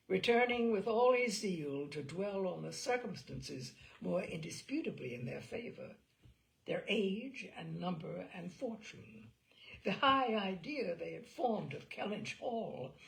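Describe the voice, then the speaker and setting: A woman reading a classic novel aloud as an audiobook narrator, in an expressive storytelling style. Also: fairly low pitch, vocal fry, rough recording.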